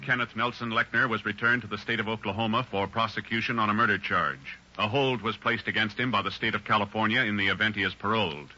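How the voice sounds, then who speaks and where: A man acting as a stern, official radio announcer, reading measured scripted narration in 1950s style. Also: fairly low pitch, sharp tone, faint music behind. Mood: formal. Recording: dull 1950s radio recording.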